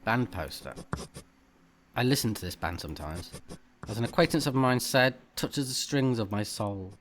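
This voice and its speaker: Playful male gamer, slightly low voice. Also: expressive, moderate pace, slight background noise. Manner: playful, reactive. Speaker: let's play host. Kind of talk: game commentary mixed with reading text aloud.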